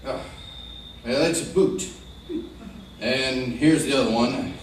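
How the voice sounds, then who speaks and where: A man playing a steady American frontier lawman in a radio western, plainspoken and hesitant. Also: mid-range voice, lightly acted. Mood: intent, serious. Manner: hesitant, plainspoken, thoughtful pauses. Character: steady, easygoing male frontier lawman of the Old West. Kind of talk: old-time radio western acting. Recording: echoey, distant old radio recording, faint noise.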